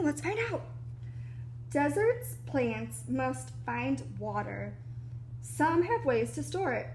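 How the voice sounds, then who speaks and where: A woman reading a children's picture book aloud like a preschool teacher, animated and explanatory, with little asides to young listeners. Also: high-pitched, slight background noise, rough recording.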